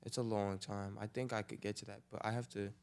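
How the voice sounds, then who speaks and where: A man's voice: lowish voice, little pitch movement, fast, gravelly, a little mellow, clean tone. An eighteen-year-old man talking earnestly and casually with his mother as a podcast co-host. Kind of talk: casual family chat.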